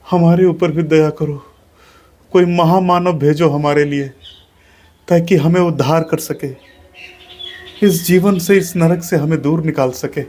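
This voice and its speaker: Man doing a high, wailing oppressed laborer for a stage monologue, begging and lamenting. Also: darkish tone. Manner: pleading, wailing, repetitive cries.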